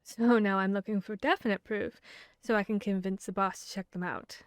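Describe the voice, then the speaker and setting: A woman's voice: mid-range voice, steady tone, moderate pace, smooth, no echo, lightly acted. Woman acting as earnest, bookish vampire hunter, dry close sound. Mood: earnest explaining. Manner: hesitant, thinking aloud, conversational. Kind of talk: ASMR audio roleplay acting.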